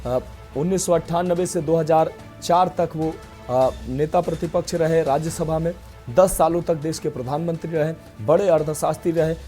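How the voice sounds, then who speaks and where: A man anchors breaking news in a fairly high, soft-edged voice. He speaks partly off the cuff, with halting, repetitive phrasing. Faint music plays underneath. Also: steady tone.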